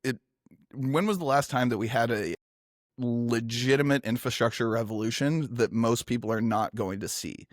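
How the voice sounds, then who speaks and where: A man moderating a casual industry panel, energetic and enthusiastic, with lots of filler words. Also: medium pitch, normal speed, no room sound, clean studio sound.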